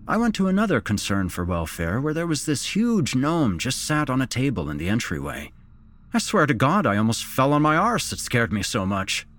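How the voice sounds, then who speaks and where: A male reader gives voice to a male police officer in an audiobook. He is a jovial tease who jokes and mutters his way through night duty, pitched up from the narration, with music underneath. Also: very animated, moderate pace, fairly bright tone. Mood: amused. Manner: joking, muttering, blasé. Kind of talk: audiobook character dialogue.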